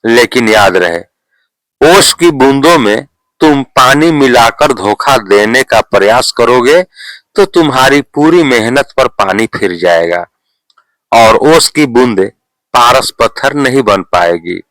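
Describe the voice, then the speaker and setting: A calm village sage from a moral storybook, as a male narrator plays him. He speaks very slowly in a measured, solemn way, with a medium-pitched voice in a dry, close recording. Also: no echo, low-quality recording. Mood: calm, instructing. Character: calm revered village holy man, instructing and promising.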